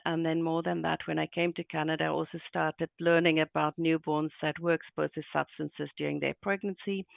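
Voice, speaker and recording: South african guy, nurse, kinda high gravelly voice, explaining stuff earnestly. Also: dry recording. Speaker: neonatal nurse and guest presenter. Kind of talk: remote interview. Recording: tinny phone-like dry call audio.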